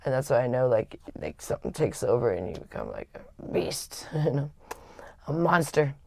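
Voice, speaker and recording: A woman with a raspy voice, hosting a livestream and telling a personal story in a candid, rambling way with frequent restarts. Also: a bass voice, big pitch swings, moderate pace, a little mellow, a little hiss or hum. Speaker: livestream host.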